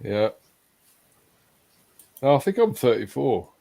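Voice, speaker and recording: A man's voice: mid-range voice, big pitch swings, slow, creaky, dark tone, dry recording. A man in his forties hosting a casual live-stream chat, reading out messages from viewers and laughing along with the jokes. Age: 40s. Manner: banters and reads chat messages aloud, laughing often. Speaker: host.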